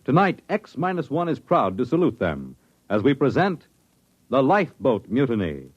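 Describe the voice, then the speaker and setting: A man's voice: medium pitch, wildly swooping pitch, normal speed, breathy edge. A man announcing a 1950s radio show in a grand, portentous, dramatic style. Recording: muffled vintage radio broadcast. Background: music.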